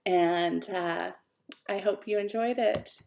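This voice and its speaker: Woman with a gravelly voice. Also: mid-range voice, takes it slowly, a little hiss or hum. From Canada. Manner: expressive, lively storytelling. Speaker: author reading her own novella. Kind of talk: audiobook-style fiction reading.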